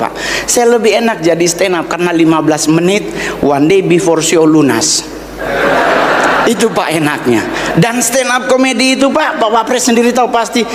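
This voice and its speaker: High-voiced self-mocking man in an echoey hall. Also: background noise. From Indonesia, North Sulawesi. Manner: teasing, self-mocking, addresses the guest of honor often. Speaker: stand-up comedian. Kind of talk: stand-up set at a formal event. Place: large indoor event hall.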